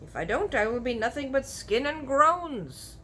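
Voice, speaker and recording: Very old male storybook giant, played by a woman: earnest, blustery, mangled grammar, emphatic drawn-out words, forceful tone. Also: sits high, sing-song, a little hiss or hum, pitched above the performer's own voice, heavily acted. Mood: disgusted.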